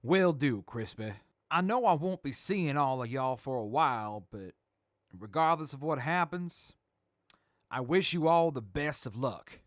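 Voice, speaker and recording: A man's voice: mid-range voice, animated, a little slow, no echo, clean studio sound, natural voice. A man playing an earnest, plainspoken army major with a folksy manner in a radio drama. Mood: subdued, sincere.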